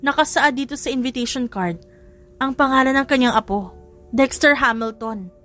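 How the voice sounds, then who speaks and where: A woman's voice: high-pitched, moderate pace, a bit bright. Woman as an eager, suspicious reporter's sidekick. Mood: intrigued. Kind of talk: audiobook drama. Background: music.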